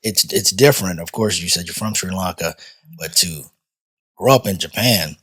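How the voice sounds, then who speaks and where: A man's voice: low-pitched, normal speed, fairly bright tone, breathy edge. A man co-hosting a podcast, asking a guest curious questions with frequent restarts. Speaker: podcast co-host. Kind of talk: casual podcast chat.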